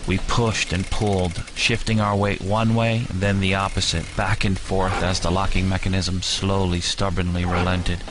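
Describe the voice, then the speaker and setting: A man giving grave, deliberate, dramatic first-person narration for an audio story. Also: deep voice, flat delivery, noisy background. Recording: music bed with rain sounds.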